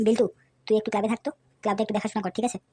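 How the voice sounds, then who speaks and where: A woman's voice: mid-range voice, little pitch movement, very fast, close to an everyday voice. A woman voicing a hot-tempered, foul-mouthed male village club leader who barks abuse and orders. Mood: angry. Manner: shouting abuse, bossy. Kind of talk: Bengali comedy cartoon dubbing. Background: background music.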